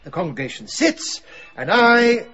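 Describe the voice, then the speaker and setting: An actor playing a genial, fussy country vicar in a radio drama, formal and a little bumbling. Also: male voice, very high voice, big pitch swings, a little slow, bright, forceful tone, dull audio, slight background noise, exaggerated voice. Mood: officious.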